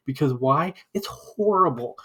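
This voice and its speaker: A man's voice: fairly high pitch, animated, measured pace, a bit bright, husky. The guy on a comedy debate podcast who co-hosts and rants passionately, swearing freely as he makes his case. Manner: profane, impassioned ranting. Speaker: podcast co-host.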